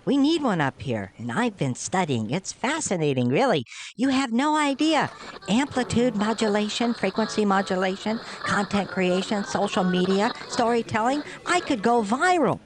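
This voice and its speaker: A woman's voice: medium pitch, sing-song, moderate pace, background noise, close to an everyday voice. A woman playing a meek, earnest young male elf who speaks politely and hesitantly in a comic Christmas radio play. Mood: shyly enthusiastic.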